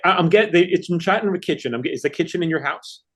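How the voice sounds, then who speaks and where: A man hosts a podcast, asking eager, enthusiastic questions. His voice sits slightly high and has a husky edge. He often restarts his sentences mid-thought. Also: lively intonation, fast. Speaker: podcast host, interviewer. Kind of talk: casual interview chat.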